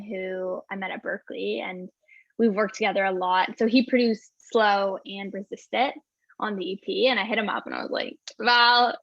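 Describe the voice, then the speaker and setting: A woman in her twenties talks breezily about her music, bubbly and quick to laugh, filling every pause with "like." Her voice comes through muffled and lo-fi, with a dead dry sound like a video call. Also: mid-range voice, a bit bright, dry recording. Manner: bubbly, says "like" constantly, laughs often. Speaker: singer-songwriter guest. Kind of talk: casual interview chat.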